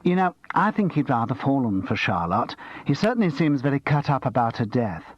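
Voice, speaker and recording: Man doing a sharp-tongued chatty woman, swooping high, dry close sound. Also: very animated, a little fast, no room sound, dull audio. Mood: gossipy. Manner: gushing, sharp edge. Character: chatty middle-aged woman, gossipy, barbed.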